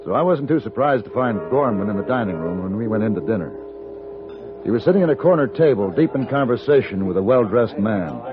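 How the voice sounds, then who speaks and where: A cool, hard gunfighter played by a man from an old radio western. His voice is very low and moves expressively, yet the delivery stays terse and deliberate. It comes through as a heavily muffled vintage broadcast with music underneath. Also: animated, natural voice. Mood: calm, watchful. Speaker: lead actor and first-person narrator. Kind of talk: 1950s radio western acting and narration.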